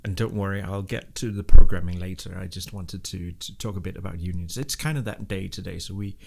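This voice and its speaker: Low, gravelly man streaming live. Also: fairly even intonation, brisk, darkish tone. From Denmark. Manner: rambling, with many fillers and restarts. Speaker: live-stream programming host. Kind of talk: casual live-stream chat, reading chat aloud.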